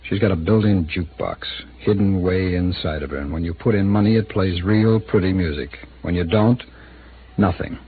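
A man's voice: deep voice, normal speed, slightly rough. An actor in his thirties playing an easygoing, slightly evasive male city attorney in an old radio drama. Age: 30s. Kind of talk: 1950s radio drama acting. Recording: tinny, hissy old radio broadcast.

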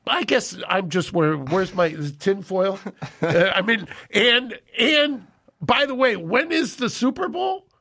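An older man co-hosting a podcast in a studio, talking into a boom mic, indignant and full of rhetorical questions. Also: expressive, takes it slowly, gravelly, bright, forceful tone. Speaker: co-host. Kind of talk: casual podcast talk. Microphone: studio boom mic with headphones.

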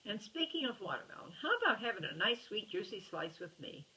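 A kindly old farmer bear, gruff and patient, drawling out folksy advice in a storybook reading, as a woman puts him on. The voice stays bright and a little lower than her own. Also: very animated, a little fast, distant mic, low-quality recording.

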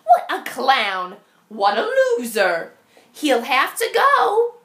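Woman doing a high, fussy storybook hen. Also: wildly swooping pitch, slow pace, a bit bright, echoey room, heavily acted. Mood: scornful. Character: bossy, fussy female hens in a farmyard.

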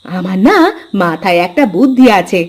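A woman's voice: fairly low pitch, expressive, moderate pace, a little mellow, breathy edge. A woman voicing a scheming village wife in a cartoon, with a coaxing, insistent manner. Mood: sly. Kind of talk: cartoon dialogue acting.

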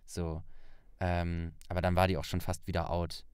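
A man with a low-pitched voice hosts a casual conversation. He talks in a loose, colloquial way. The recording is dry, without room sound. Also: completely flat delivery, normal speed, a little mellow, smooth, dry recording. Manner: informal, colloquial. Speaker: podcast host, interviewer. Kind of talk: casual chat.

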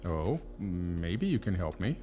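A patronizing, expressive asylum doctor. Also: male voice, deep voice, soft background music, full character voice. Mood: mock sympathy. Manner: measured, condescending, questioning. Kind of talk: audio drama acting.

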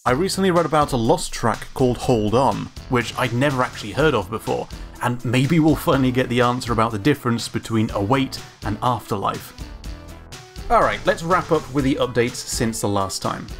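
A man's voice: medium pitch, lively intonation, normal speed. Man narrating analysis over background music. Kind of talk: scripted analytical commentary.

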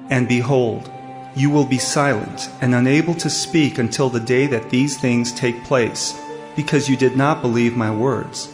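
An actor playing a commanding, solemn angel messenger in a dramatized audio Bible, proclaiming in a measured, declamatory way. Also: male voice, mid-range voice, close to an everyday voice. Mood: stern.

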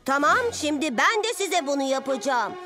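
A woman's voice: high-pitched, lively intonation, moderate pace. A woman voicing a plucky, loyal and earnest six-year-old boy superhero in a children's cartoon. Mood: resolute. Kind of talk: Turkish-dubbed children's cartoon acting. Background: music.

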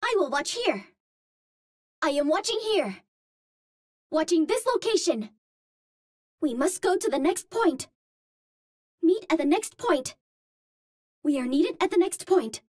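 A woman's voice: very high voice, very animated, unhurried, pauses often, darkish tone, no echo, studio quality, exaggerated voice. A woman voicing a cute, earnest young Martian explorer in a video game, with a light girlish voice and polite, precisely worded phrasing. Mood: alert, businesslike. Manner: formal, precise wording without contractions, earnest and polite. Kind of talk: video game hero callouts.

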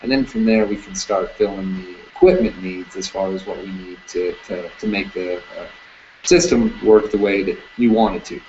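A man in his thirties explains his business in a relaxed interview, with plenty of ums and uhs. His voice sits low. The sound is dull and lo-fi, like a webcam call, with a faint hiss behind it. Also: moderate pace. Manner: relaxed, many fillers, salesman-like. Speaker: security camera business owner. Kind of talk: casual video call interview. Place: indoor room with curtains. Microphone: webcam on video call. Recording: dull lo-fi video call audio, faint noise.

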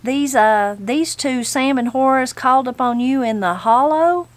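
A woman reading the part of a brisk young male reporter, polite and inquisitive, in an audiobook story. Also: high voice, dry recording, voice pushed up. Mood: courteous, hesitant. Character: brisk, courteous young newsman.

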